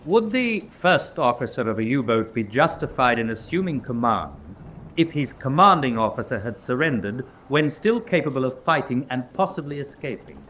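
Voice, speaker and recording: An actor playing a relentless, precise military prosecutor in a radio drama, questioning formally and pressing hard. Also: male voice, mid-range voice, very animated, normal speed, a bit bright, slight background noise. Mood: formal, measured. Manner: formal, pressing cross-examination. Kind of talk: radio drama courtroom acting.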